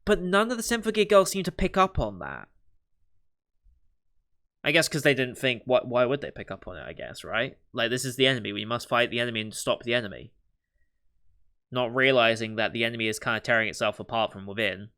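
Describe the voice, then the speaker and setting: A man hosting an anime reaction, thinking aloud in casual commentary and musing over what the characters will do next, with the odd soft laugh. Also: medium pitch, animated, brisk. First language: not Japanese.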